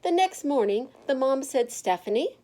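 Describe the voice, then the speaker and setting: A woman reading a picture book aloud to children in an expressive, emphatic narrating style. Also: on the high side, a little slow. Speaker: children's storybook reader.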